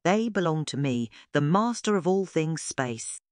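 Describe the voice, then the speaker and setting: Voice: medium pitch, animated, unhurried, darkish tone, dry recording, studio quality, close to an everyday voice. A narrator acting out a demanding, selfish space creature. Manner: demanding, grumbling, howling.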